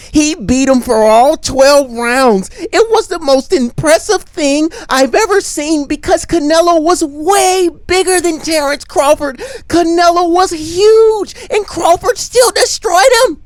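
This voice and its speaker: A woman giving emphatic opinion commentary in repeated, forceful declarations. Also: sits high, no room sound.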